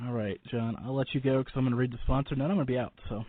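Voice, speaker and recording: A man hosting a radio show in a casual, rambling, informal way with halting starts. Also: medium pitch, fairly even intonation. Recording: boxy phone-like line with room echo.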